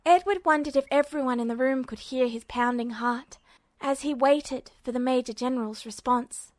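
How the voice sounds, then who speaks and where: A woman reading fan fiction aloud as a podfic, in steady storytelling narration. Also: sits high, normal speed, no room sound. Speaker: podfic reader.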